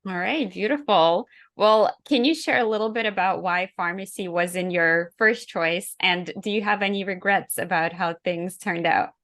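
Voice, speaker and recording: A woman's voice: fairly low pitch, normal speed, few pauses, fairly bright tone. A woman hosting a podcast, interviewing a guest in a conversational style. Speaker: podcast host.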